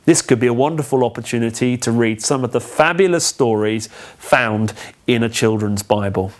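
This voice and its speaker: Man, medium pitch, for scripted instructional narration; measured, clear phrasing, teacherly delivery. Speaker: training film presenter.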